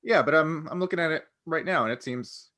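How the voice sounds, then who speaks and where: A man's voice: on the high side, brisk, sharp tone. A man co-hosting a podcast in a casual group chat, with a wry, joking manner. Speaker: podcast co-host.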